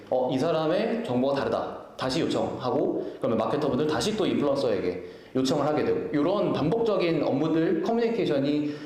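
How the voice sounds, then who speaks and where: A man's voice: a little high, darkish tone. A man giving a business conference presentation in a polite, formal explaining way, with frequent hesitations and restarts, in an echoey event hall. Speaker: product owner presenting at a company event. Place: indoor event venue, presenting to an audience.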